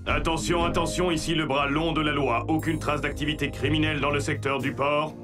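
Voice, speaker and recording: An actor playing a formal, dutiful police robot in a French cartoon dub, stiff and official, by the book. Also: male voice, fairly high pitch, fairly even intonation, fairly quick, lightly acted. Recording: slightly distant, music behind.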